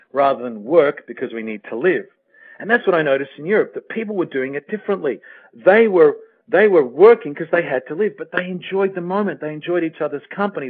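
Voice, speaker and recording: An Australian man talks as a guest life coach, his voice pitched a little high. He is earnest and emphatic, building points through repetition. The sound is narrow and tinny, like a phone call to a radio show. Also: slightly rough. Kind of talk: motivational interview talk with personal anecdotes.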